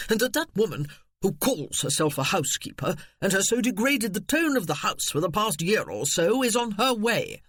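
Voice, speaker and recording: A man's voice: sits high, very animated, normal speed, fairly bright tone, no echo, studio quality, pitched above the performer's own voice, exaggerated voice. A man putting on a pompous, blustering cousin. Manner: pompous, blustering, then fawning. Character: pompous blustering male cousin, middle-aged.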